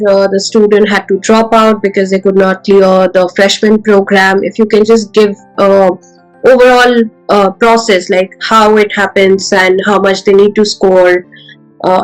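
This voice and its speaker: A woman's voice: mid-range voice, poor audio. A woman hosting a podcast, asking questions with frequent hesitations. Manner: hesitant, many fillers. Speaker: podcast host. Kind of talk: interviewing with questions.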